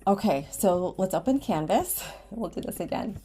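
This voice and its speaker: An American woman with a medium-pitched voice talks as a writing teacher in a screen tutorial. She gives simple, step-by-step instructions and often checks in with a short okay. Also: animated, normal speed, dark tone, slightly rough, soft background music. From Washington State, United States. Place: indoors at a computer, screen recording with webcam.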